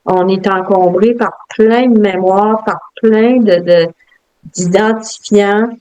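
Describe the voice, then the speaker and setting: A woman with a Quebec French accent, reflective and halting, with many fillers and restarts as she talks. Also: medium pitch, steady tone, warm tone, smooth, rough recording. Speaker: guest. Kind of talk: casual interview talk.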